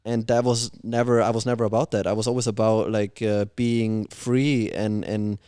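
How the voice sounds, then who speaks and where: A man's voice: lowish voice, level tone, moderate pace, dark tone, clear, resonant tone, no room sound, studio quality. A man from Austria, a podcast guest talking bluntly and opinionatedly in casual chat. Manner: blunt, opinionated, swears freely.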